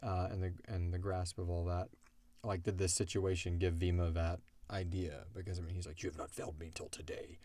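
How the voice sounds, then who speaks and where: A man's voice: sits low, level tone, a little fast, a little mellow, dry recording. A man talking in a casual, rambling, conversational way with lots of "like", as a show's creator answering listener questions. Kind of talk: casual Q&A discussion.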